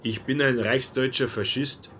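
A man's voice: medium pitch, fairly even intonation, unhurried, a bit bright, slight background noise, natural voice. Man as a curt, dismissive traveller. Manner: terse, dry. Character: curt, dismissive male German train traveller. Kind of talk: German radio play dialogue.

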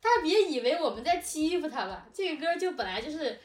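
Talkative woman, slightly high voice, roomy sound. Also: very animated, brisk, distant mic. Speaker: audio drama production team member and lyricist. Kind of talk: casual behind-the-scenes chat.

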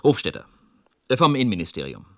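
Nervous ministry official, hesitant, urgent, sharp bright voice, lively pitch swings, radio play. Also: male voice, fast talker, dry recording, studio quality, heavily acted. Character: nervous, evasive government ministry official on the telephone. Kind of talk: radio drama acting.

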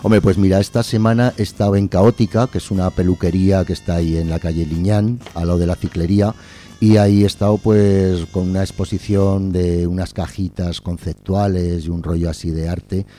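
A man's voice: deep voice, flat delivery, brisk, mellow, faint music behind. A man talking casually as an interview guest, colloquial and wryly joking. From Aragon, Spain. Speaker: interview guest, artist and musician. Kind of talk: casual chat.

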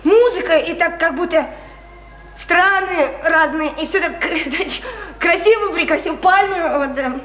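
A woman's voice: very high-pitched, measured pace, a bit bright. An actress playing a naive, odd, chatty young provincial woman in a radio play, rambling earnestly in simple folksy speech with hesitant fillers. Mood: dreamy wonder. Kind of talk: theatrical radio play dialogue. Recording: echoey, noisy stage radio play recording.

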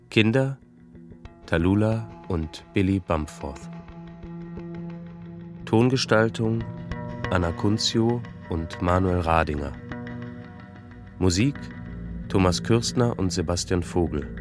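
A man reading scripted radio play credits as an announcer. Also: low-pitched.

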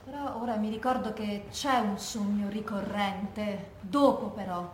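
A woman's voice: medium pitch, steady tone, a little slow, close to an everyday voice. An actress playing a haunted woman who recalls tragic years and recurring nightmares in a reflective theatrical monologue. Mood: anguished remembering. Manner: reflective first-person storytelling. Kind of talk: theatrical monologue from oral testimony. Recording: echoey room with background noise.

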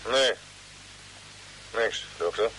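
An actor playing a brisk, insistent spaceship commander in a vintage radio drama. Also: male voice, lowish voice, pushed and bright, exaggerated voice. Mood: puzzled. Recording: noisy, crackly old radio recording.

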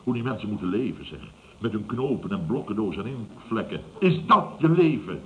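Blustering, animated middle-aged boss. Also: male voice, lowish voice, moderate pace. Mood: indignant, mocking. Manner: pompous, exclamatory, stammers when flustered. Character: blustering, self-satisfied middle-aged boss of a building firm. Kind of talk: 1970s Dutch radio comedy acting. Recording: dull, noisy vintage radio recording.

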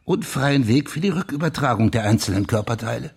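An actor playing a police official in a German crime radio drama, insistently laying out his theory with a husky, gravelly voice. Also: medium pitch, expressive, fairly quick. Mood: grave, deducing.

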